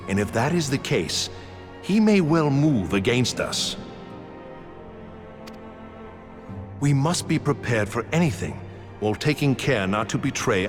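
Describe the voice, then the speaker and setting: Grave fantasy spymaster commander, courteous, measured archaic speech, lively intonation, long hall reverb. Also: male voice, fairly low pitch. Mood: urgent, resolute. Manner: formal, measured, archaic diction. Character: composed, shrewd male military intelligence commander, courteous and grave. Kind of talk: dramatic fantasy game voice acting. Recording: long reverb under clear music.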